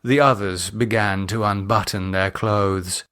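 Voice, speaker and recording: A man's voice: lowish voice, fairly even intonation, a little slow, fairly bright tone. A man reading a novel as scripted narration. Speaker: author and audiobook narrator.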